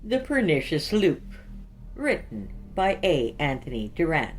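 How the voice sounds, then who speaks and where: Very low-voiced woman narrating fiction. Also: very animated, unhurried. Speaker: podcast host and story narrator. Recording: faint music behind. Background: music.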